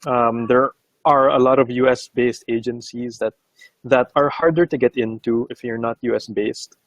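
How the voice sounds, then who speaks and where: A man's voice: mid-range voice, fairly even intonation, normal speed, no echo, highs cut off. A Filipino man whose first language is Bisaya, hosting a live interview in a casual, hesitant way. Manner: casual, hesitant, many fillers. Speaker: host.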